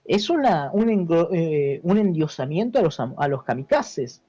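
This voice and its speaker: A man's voice: on the high side, big pitch swings, mellow, dry recording. A man with a Buenos Aires accent explaining things as an interview guest in casual talk, often repeating words and adding question tags. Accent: Argentine Spanish, Buenos Aires. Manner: halting, repeats words, seeks agreement with tags. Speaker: interview guest, history teacher. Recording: dull lo-fi, dry, music behind.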